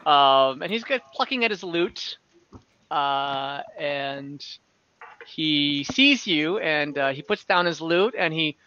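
A man narrating an online tabletop game as game master, casual, halting and lightly amused, improvising as he goes. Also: on the high side, expressive, bright, forceful tone, clean tone. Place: at home indoors on a group video call. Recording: slightly dull video-call audio.

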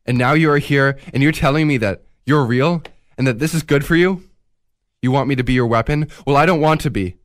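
A man's voice: medium pitch, a little fast, no room sound, natural voice. A guilt-ridden male thief acted by a man in a radio play, with a mid-range voice and a dry sound. He is agitated, stubborn and defensive about what he has done. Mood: anguished, defensive. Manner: agitated, defiant. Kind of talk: radio drama acting.

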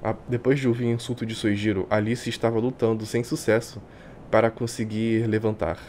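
A man with a Brazilian accent reading a visual novel aloud like a streamer, expressive and colloquial. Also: lowish voice, fairly quick, slight background noise. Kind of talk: dramatic live reading with casual asides. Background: game music.

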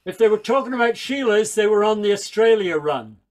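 High-voiced older man spinning yarns. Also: expressive, brisk. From Northern Ireland, raised in England. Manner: chatty, wry storyteller with asides. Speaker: folk singer and speaker. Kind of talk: storytelling talk on a video call. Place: small room at home. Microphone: headset mic on a video call.

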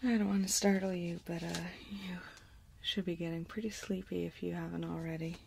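A woman in her thirties doing a soft-spoken, partly whispered ASMR exam role play, giving slow, gentle instructions close to the microphone. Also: on the low side, level tone, slight background noise. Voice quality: breathy, whispery. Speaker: ASMR performer playing an examiner.

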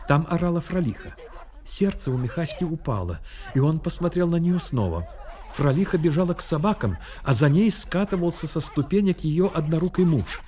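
A man narrating a Soviet radio play dramatically, with intense, measured storytelling. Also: fairly high pitch, lively intonation, dark tone. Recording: old radio recording with noisy effects behind.

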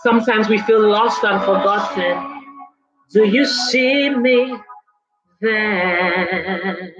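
A woman leading a congregation in prayer and worship, with slow, fervent, drawn-out phrases that slip into singing. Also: mid-range voice, breathy edge. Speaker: worship leader. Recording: dull lo-fi recording with soft music behind.

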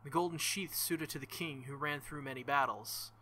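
A man's voice: fairly high pitch, moderate pace, pushed and bright, a little hiss or hum. A young man reading a visual novel aloud as a let's play host, with joking, crude asides between passages.

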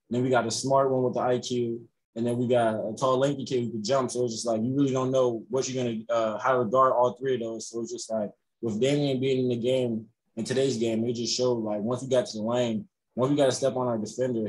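A young man, a college basketball player, answering reporters on a video call after a game, relaxed and full of "you know" fillers. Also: mid-range voice, husky, poor audio. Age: young adult. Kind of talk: postgame press conference answers. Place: indoors in front of a logo backdrop.

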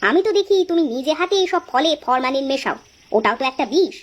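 A woman voicing a blunt, sharp-tongued village wife in a cartoon, with a scolding manner. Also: high-pitched, lively intonation, fast, lo-fi sound. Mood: sulky, reproachful. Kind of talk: cartoon dialogue acting.

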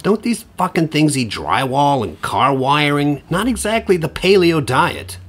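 An actor playing a middle-aged divorcing suburban dad in a podcast drama, profane, sardonic and rambling. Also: male voice, on the high side, big pitch swings, unhurried, a bit bright, breathy edge, full character voice. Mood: exasperated. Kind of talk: podcast audio drama acting.

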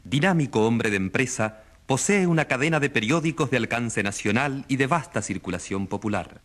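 A man's voice: medium pitch, lively intonation, normal speed, a bit bright. A man acting a radio studio announcer, reading scripted profiles formally and with emphasis in a radio drama. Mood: formal, emphatic.

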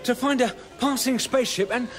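An actor playing a breezy, excitable space hitchhiker with an eager, brisk manner, in a comic science-fiction radio drama. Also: male voice, extremely high pitch. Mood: breezy, puzzled.

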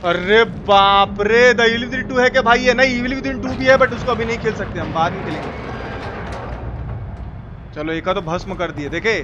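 A man with an eastern Indian Hindi accent giving casual, excitable, slangy gameplay commentary as a live-streamer over game sound. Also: sits high, normal speed, dull audio. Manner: excitable, crude slangy exclamations. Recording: noisy game sound behind voice. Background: horror game sound.

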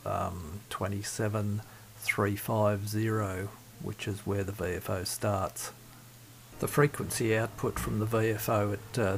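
A low, gravelly-voiced man talks through a fix at his home workbench. He stays flat and even, pausing often with fillers as he works. A faint hiss sits under the recording. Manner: halting, many fillers, methodical. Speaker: CB radio hobbyist. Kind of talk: hands-on repair demonstration.

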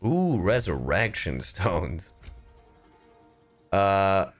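A man's voice: deep voice, sing-song, very slow, faint music behind. A man doing casual, profane, jokey livestream commentary while he plays a game, sometimes reading aloud theatrically. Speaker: streamer, gamer.

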